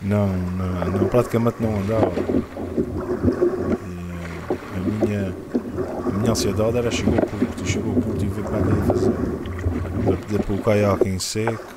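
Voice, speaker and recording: Low flat-voiced man, windy water noise. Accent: Azorean Portuguese. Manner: halting, repeats words as he recalls. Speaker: kayak angler narrating his trip. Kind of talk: casual voice-over narration. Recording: loud wind and water noise.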